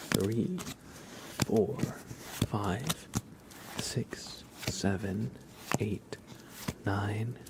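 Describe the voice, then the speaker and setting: Man, ASMR streamer, lowish pitch, soft and breathy, often whispery, gentle and unhurried, close mic, faint ambient noise. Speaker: livestream host. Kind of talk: ASMR livestream, chatting to viewers.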